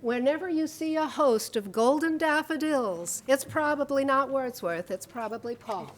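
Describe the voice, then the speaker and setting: A woman's voice: high voice, normal speed, a little hiss or hum. Woman introducing a panel, playful. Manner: warm, playful, reading out a bio. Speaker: panel moderator. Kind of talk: introducing speakers. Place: church hall.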